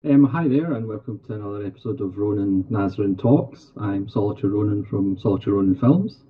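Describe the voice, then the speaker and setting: Scottish host, tinny phone-like sound. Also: male voice, fairly low pitch, a little fast. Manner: hesitant, frequent ums. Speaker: podcast co-host. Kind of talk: casual podcast hosting. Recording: tinny phone-like audio with reverb.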